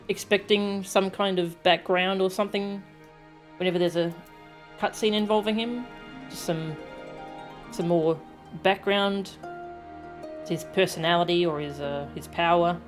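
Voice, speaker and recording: A woman chatting casually and musingly as she streams a game, pausing and hesitating as she thinks aloud. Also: fairly low pitch. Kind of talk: casual live game stream chat with dialogue read aloud. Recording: music behind the voice. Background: game music.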